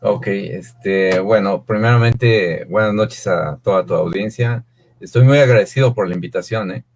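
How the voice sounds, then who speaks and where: Fifties Mexican-accented man, slightly low voice, rambling filler-heavy answers. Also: moderate pace, a little mellow, slight background noise. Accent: Mexican Spanish. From Mexico City. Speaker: guest, swim coach. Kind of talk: relaxed interview answers.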